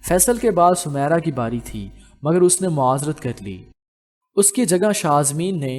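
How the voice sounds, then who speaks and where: A male audiobook narrator with a fairly high voice reads a romantic novel. His delivery is measured and literary, like scripted storytelling. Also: animated, a little mellow, soft background music.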